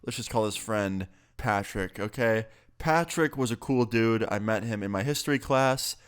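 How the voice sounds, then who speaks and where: Young man, sick, casual storytime, profane, lowish voice. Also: normal speed, smooth. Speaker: YouTuber.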